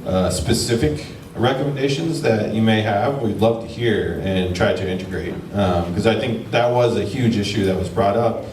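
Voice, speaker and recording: Brief: low-pitched male panelist, easygoing answer, echoey room from far off. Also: normal speed, few pauses, a little mellow, slight background noise. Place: town hall meeting room.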